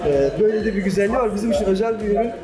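Eager restaurant owner, echoey busy room. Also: male voice, sits high, expressive, very fast, a crowd in the background. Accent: Istanbul Turkish. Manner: earnest, enthusiastic, hesitant fillers. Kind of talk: promotional interview.

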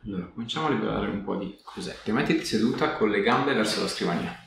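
A man working as a chiropractor, giving a patient brief, reassuring instructions in a creaky voice. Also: on the low side, expressive, quick pace, fairly bright tone. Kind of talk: giving short hands-on instructions to a patient during an exam. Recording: echoey room, distant mic, background noise.

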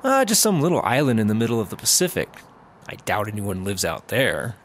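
An actor playing a hesitant, naive, easily swayed cop sidekick in a comedy audio drama. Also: male voice, lowish voice, very animated, fairly quick, slight background noise. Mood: carefree.

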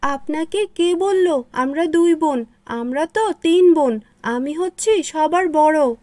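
Woman acting meek cartoon farm daughter, high pitch, earnest and plaintive, very dry sound. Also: animated, slow pace, mellow, no room sound. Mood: polite. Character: gentle, sad, dutiful eldest daughter of a farmer. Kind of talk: Bengali cartoon dubbing.

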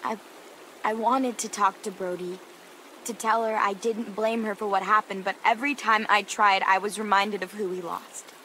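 Guilt-ridden teen girl survivor adult actress for a game drama; naturalistic, halting and dry, mid-pitched, over clear outdoor noise. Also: steady tone, bright, forceful tone, close to an everyday voice, poor audio. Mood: guilty, regretful.

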